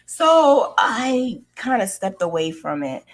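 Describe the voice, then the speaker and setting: A woman's voice: fairly high pitch, sing-song, slow. A woman telling a personal story casually and candidly, in a conversational way, repeating phrases for emphasis. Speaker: crochet craft vlogger and storyteller.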